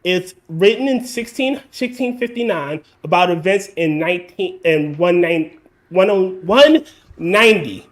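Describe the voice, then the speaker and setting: A man's voice: high voice, expressive, unhurried. A man hosting a casual podcast chat, blunt and swearing freely as he explains his point at length. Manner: blunt, swears freely, lectures in long runs. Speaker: podcast host.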